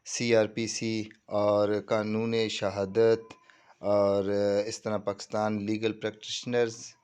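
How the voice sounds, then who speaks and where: Low-voiced Pakistani man explaining. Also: monotone, slow pace, darkish tone, clear, resonant tone. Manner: repeats reassuring check phrases, mixes in English terms. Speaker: tutorial presenter. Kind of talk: informal screen-walkthrough tutorial, explaining step by step.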